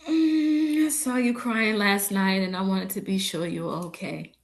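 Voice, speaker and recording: A woman acts a young village daughter in a stage drama. Her voice is medium in pitch and slow, with halting starts and repeated words. She sounds earnest and dutiful, with a playful streak. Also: natural voice. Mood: earnest, timid. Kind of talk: staged script read of a drama.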